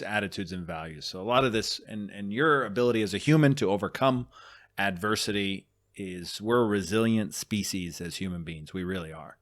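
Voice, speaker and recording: A man's voice: fairly low pitch, fairly bright tone. A man co-hosting a podcast in casual chat, joking and boastful at times, earnest and reflective at others. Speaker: podcast co-host.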